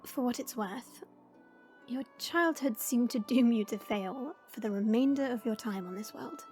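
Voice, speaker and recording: A woman playing a stern, proud but caring fantasy warrior, speaking in a measured, formal and dry way. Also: a little high, darkish tone, faint music behind, lightly acted. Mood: dry, teasing. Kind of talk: fantasy audio roleplay acting.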